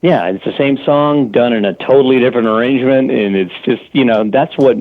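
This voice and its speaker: A man's voice: medium pitch, brisk, no room sound. A man hosting a podcast conversation, emphatic and agreeable as he makes his point. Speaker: podcast host. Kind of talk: casual conversation. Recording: dull, lo-fi, dry sound.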